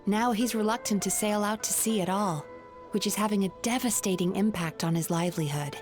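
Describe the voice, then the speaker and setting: Casting: young female counselor played by a woman, mid-pitched, articulate, composed, perceptive; video game dialogue over soft music. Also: fairly quick, close to an everyday voice. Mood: calm, explanatory. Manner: composed, articulate, clinical explanatory phrasing. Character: earnest, perceptive young woman counselor who reads dreams. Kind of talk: scripted video game voice acting.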